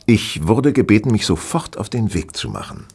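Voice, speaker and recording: A man playing a brilliant, self-assured gentleman detective in a German audio drama, explaining things deliberately with casual superiority. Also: fairly low pitch, sing-song, gravelly, breathy edge, heavily acted. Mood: amused, superior.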